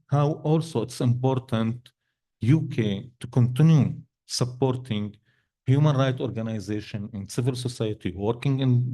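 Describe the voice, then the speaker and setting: Panel speaker, male, non-native English, halting and searching for words, dark mellow tone. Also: mid-range voice. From Syria. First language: Arabic. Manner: halting, many restarts and fillers, non-native grammar. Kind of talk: panel discussion answer. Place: event hall.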